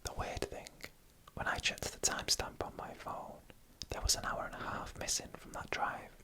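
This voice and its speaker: ASMR storyteller for creepy tales: fully whispered, breathy, bright, hushed and deliberate phrasing. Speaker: narrator reading online stories.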